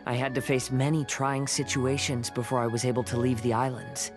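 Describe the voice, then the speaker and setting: Man as a calm young samurai, music behind. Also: mid-range voice, a little fast, lightly acted. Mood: calm, modest. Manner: calm, modest, courteous. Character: modest, courteous young male wandering samurai. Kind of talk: English game dub acting.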